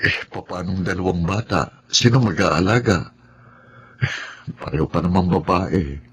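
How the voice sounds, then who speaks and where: A man's voice: low-pitched, unhurried, a bit bright, slight background noise. An actor playing a struggling, apologetic husband and father in a Filipino radio drama, speaking in a weary way. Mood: discouraged. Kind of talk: Filipino radio drama acting with first-person narration.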